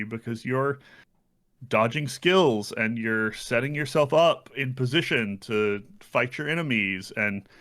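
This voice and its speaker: A man with a bright, crisp-edged voice co-hosts a casual game review podcast. His pitch is average, and he explains in a conversational way, qualifying his points as he goes. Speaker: podcast co-host.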